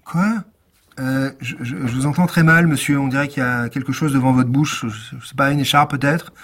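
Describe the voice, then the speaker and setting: A man's voice: mid-range voice, steady tone, fairly quick, fairly bright tone, close to an everyday voice. A man playing a stubborn, awkward police detective in a comic audio drama, earnest and self-dramatizing, sometimes tripping over his words. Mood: puzzled.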